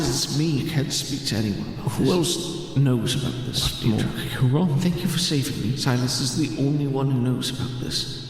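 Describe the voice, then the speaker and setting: A man's voice: mid-range voice, close to an everyday voice. A man voicing a guilt-ridden grandfather in a tabletop game, a memory apparition who pleads urgently in broken phrases. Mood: grave, insistent. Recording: cavernous echo and long reverb over music.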